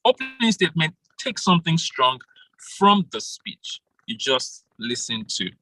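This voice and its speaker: Bright, animated man coaching. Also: fairly high pitch, a little slow, a little hiss or hum, poor audio. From Nigeria. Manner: animated, laughs often, calls on participants by name. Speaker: public speaking coach. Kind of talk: interactive online workshop talk. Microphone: video call.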